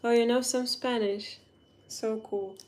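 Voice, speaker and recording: A young woman from Ukraine with a Ukrainian accent, chatting casually in English on a phone livestream and often pausing to search for words. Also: fairly high pitch, fairly even intonation, a little mellow. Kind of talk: casual livestream chat while doing makeup.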